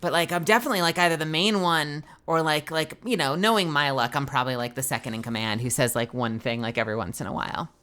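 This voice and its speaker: Woman, low deep voice, crisp bright tone, casual storyteller, swears. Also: fast, hardly any pauses. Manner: swearing, storytelling, loud bursts of disbelief. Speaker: streamer and voice actor. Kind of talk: casual gaming stream chat.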